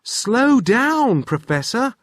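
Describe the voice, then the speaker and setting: A man's voice: very high-pitched, very animated, drawn-out words, a bit bright, no room sound, clean studio sound, voice pushed up, exaggerated voice. A man voicing an urgent young boy in a storybook read-aloud, insistently calling out.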